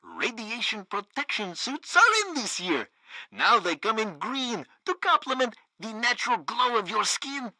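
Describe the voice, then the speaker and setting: Flippant propaganda newsreader, animated pitch, bright forceful voice, satirical game radio. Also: female voice, a little slow, no room sound, exaggerated voice. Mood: breezy deadpan. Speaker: radio news host. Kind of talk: satirical scripted radio news.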